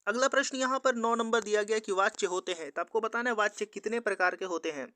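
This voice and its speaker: A man's voice: high-pitched, fast talker, bright, forceful tone, dry recording. A man teaching in an instructional quiz style, methodically reading out questions and answers as an exam tutor.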